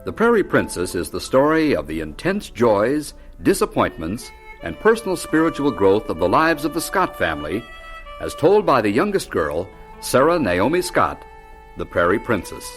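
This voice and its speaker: A man's voice: medium pitch, huge pitch swings, moderate pace, faint music behind. A man reading a scripted introduction as announcer of a radio drama.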